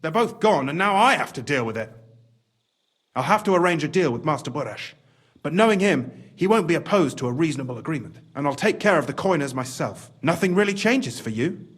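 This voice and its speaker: A scheming, excitable game official with a high voice that swings dramatically. He talks in wordy, exclamatory bursts with a conspiratorial, pragmatic air. The tone is husky, over faint music. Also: a little fast. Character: cunning, excitable scheming male mine official, smugly pragmatic. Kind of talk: video game cutscene acting.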